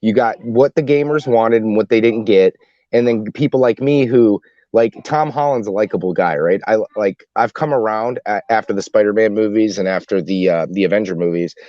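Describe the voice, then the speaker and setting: A man talks casually as one of a podcast panel, in a halting way with frequent restarts. The sound is dry and somewhat dull, like a lo-fi recording. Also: on the low side, dark tone, no room sound. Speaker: podcast co-host.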